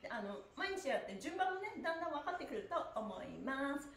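A woman's voice: high-pitched, very animated, a little fast, creaky, a bit bright, reverberant, a little hiss or hum. A middle-aged woman instructor leading a live stretching class, cheerful and encouraging, chanting rhythmically as she moves. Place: small bare indoor room, seated on a mat. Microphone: camera or phone a couple of metres away.

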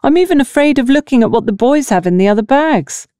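A woman's voice: high voice, animated, quick pace, dark tone, dry recording, studio quality, pitched above the performer's own voice. A woman voicing a blunt, sardonic village dressmaker in an audiobook. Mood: firm, dry.

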